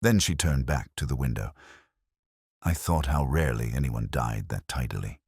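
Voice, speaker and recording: A man narrating a scripted first-person detective story in a measured, literary, reflective manner. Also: very deep voice, lively intonation, darkish tone, slightly rough, dry recording, studio quality.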